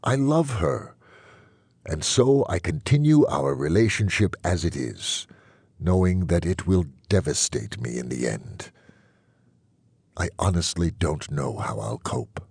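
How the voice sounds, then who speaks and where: Male actor as wistful immortal vampire, very low voice, lively swooping intonation, dryly witty, theatrical audiobook narration. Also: a little slow, no room sound, heavily acted. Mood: resigned, loving. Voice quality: low, husky. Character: wry, melancholy immortal vampire man, world-weary and wistful. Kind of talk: first-person audiobook narration.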